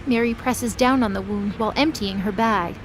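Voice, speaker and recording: A woman's voice: medium pitch, normal speed, slight background noise. A woman giving scripted, dramatic narration as the narrator of an audio drama.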